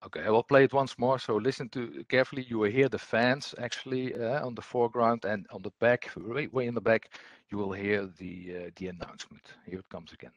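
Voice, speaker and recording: A man giving an explanatory technical presentation as a webinar trainer, speaking in a hesitant, halting way. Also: medium pitch, no room sound.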